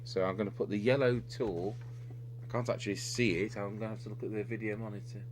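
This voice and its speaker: A man's voice: on the low side, quick pace. A man giving an unscripted, methodical technical demonstration, thinking aloud as he works at the bench. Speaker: electronics repair demonstrator, presenter. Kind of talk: unscripted hands-on technical walkthrough. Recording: clearly noisy recording with electrical hum. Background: steady hum and noise.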